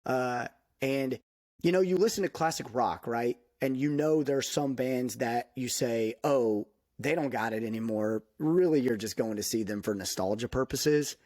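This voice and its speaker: A man in casual podcast talk, lively and laughing, with frequent "dude" and "like". His voice sits at a middling pitch. The sound is dry and close. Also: normal speed, no echo. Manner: animated, laughs often, many fillers like "dude". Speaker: podcast guest. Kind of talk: casual chat.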